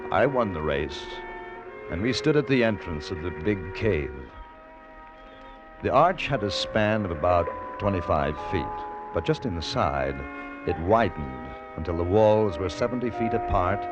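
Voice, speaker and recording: An actor playing a grown man nostalgically recalling his boyhood, narrating a 1940s radio drama in a measured, reflective way. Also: sits low, animated. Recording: muffled old-time radio recording. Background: music.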